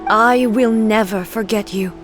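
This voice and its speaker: An actress playing a regal, centuries-old warrior goddess in a video game, solemn and resolute, speaking in formal, stately phrases. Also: female voice, mid-range voice, steady tone, over music, close to an everyday voice. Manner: formal, measured, stately delivery. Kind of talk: dramatic video game cutscene acting.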